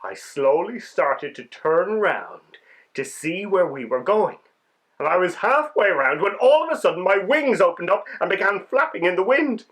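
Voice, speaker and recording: A man voicing a small, excitable winged insect telling its adventure in a children's storybook, wide-eyed and dramatic. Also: sits high, moderate pace, rough recording. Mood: excited, amazed. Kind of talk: children's storybook read-aloud.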